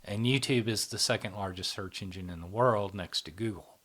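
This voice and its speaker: Low-voiced man hosting an interview. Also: normal speed. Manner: prompting with frequent affirmations, energetic when presenting. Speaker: interviewer and host. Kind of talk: casual interview, running through a topic list.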